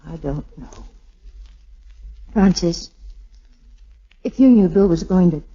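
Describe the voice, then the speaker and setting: An actress playing a tender, earnest young wartime sweetheart in a 1940s radio drama, soft-spoken and halting. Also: female voice, fairly low pitch, animated, slightly rough, full character voice. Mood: earnest, aching. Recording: muffled old 1940s radio recording with music.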